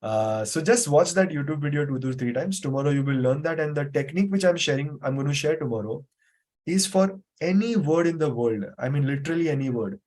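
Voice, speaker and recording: A man's voice: fairly high pitch, lively intonation, a little fast, darkish tone. A man teaching a live online memory class over a video call, patiently explaining and repeating points with frequent fillers. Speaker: memory trainer.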